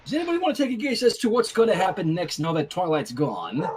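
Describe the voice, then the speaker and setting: High-voiced, animated man reading fan fiction. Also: fast talker, slightly rough. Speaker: story reader.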